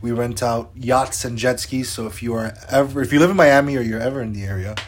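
A man's voice: medium pitch, brisk. A man in his twenties co-hosting a podcast, chatting in an upbeat, loose way with lots of fillers. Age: 20s. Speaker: podcast co-host. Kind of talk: casual podcast chat.

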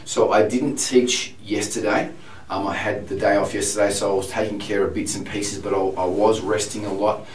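Male voice coach, congested and a bit hoarse, lowish voice, frank diary delivery, roomy room sound. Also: fairly even intonation, a little hiss or hum. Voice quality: slightly hoarse, strained. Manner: candid, detailed, unhurried self-report. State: congested, recovering from laryngitis. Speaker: voice teacher. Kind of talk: video diary. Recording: roomy, distant, piano in background.

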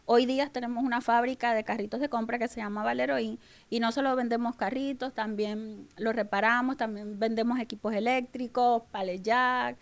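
A woman's voice: on the high side, fairly even intonation, normal speed. A woman with a Venezuelan accent speaking as an interview guest, telling personal stories conversationally and acting out remembered dialogue. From Maracaibo, Venezuela. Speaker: podcast guest, business owner.

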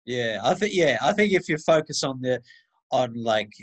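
Thirties host, average pitch, laid-back, agreeable, casual swearing. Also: male voice, expressive, normal speed. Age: 30s. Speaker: podcast host. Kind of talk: casual podcast chat.

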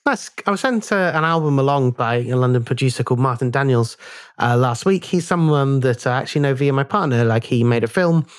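A man's voice: mid-range voice, expressive, a little fast, smooth, no room sound. Podcast guy, chatty, jokes about himself, dry close mic sound. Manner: self-deprecating, laughs often. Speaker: podcast co-host. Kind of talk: casual radio-style chat.

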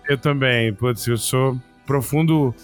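A man's voice: medium pitch, takes it slowly, music in the background. A man hosting a podcast, talking in an enthusiastic, friendly way about the games he runs. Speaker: podcast host and game master. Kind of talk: casual podcast chat.

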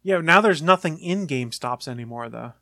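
Chatty male co-host, a bit high-pitched, dry close mic, rambling casual delivery. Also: expressive, a little fast, sharp tone, no room sound. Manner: chatty, long rambling explanations. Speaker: podcast co-host. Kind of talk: casual chat.

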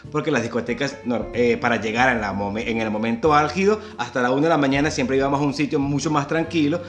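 A man's voice: medium pitch, quick pace. A man from Venezuela with a Venezuelan accent, chatting casually, telling stories and laughing often. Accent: Venezuelan Spanish. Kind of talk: casual chat.

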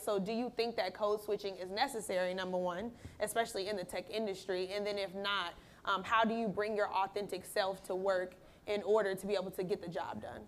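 A woman moderating a panel, asking long, searching questions. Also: mid-range voice.

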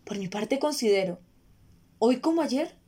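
A woman reads the part of a proud ruler of ancient Thebes in a Greek tragedy. The voice sits a little high, and the delivery is formal, grave and oratorical, like a stern king addressing his elders. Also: expressive, a little slow, warm tone, breathy edge. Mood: firm, resolute. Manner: solemn, declamatory, formal oratory. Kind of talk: dramatic reading of classical Greek tragedy.

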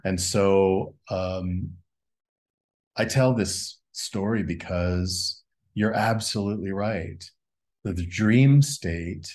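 A man's voice: on the low side, darkish tone. A man speaking as a guest in a reflective spiritual conversation, musing and asking a string of rhetorical questions. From United States.

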